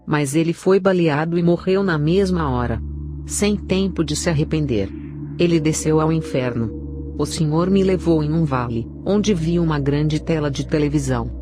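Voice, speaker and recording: A woman's voice: low-pitched, normal speed, background noise. A woman with a Brazilian accent reading a scripted narration of a religious testimony. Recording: clear background music under the voice. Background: music.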